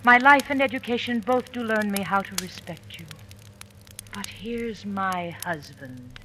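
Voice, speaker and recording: A woman's voice: medium pitch, expressive, unhurried, pushed and bright. A woman playing a dutiful, steadfast young wife and daughter, formal and poised in old radio Shakespeare style. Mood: earnest, respectful. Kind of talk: 1940s radio Shakespeare acting. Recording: faintly noisy old radio broadcast.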